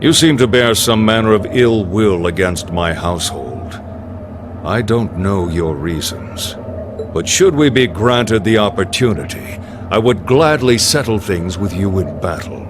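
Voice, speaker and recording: An actor playing a dignified, stern noble warrior in a fantasy game, speaking in a formal, measured way. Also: male voice, lowish voice, expressive, music in the background. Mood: solemn challenge. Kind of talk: English video game cutscene acting.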